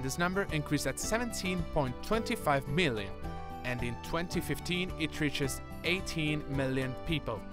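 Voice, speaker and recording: Man narrating over music. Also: fairly high pitch. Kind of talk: scripted narration.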